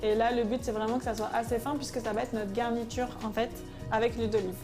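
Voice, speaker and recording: Calm woman explaining a recipe. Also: fairly high pitch, fast talker. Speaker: cook on a cooking show. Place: home kitchen. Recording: kitchen sound with background music.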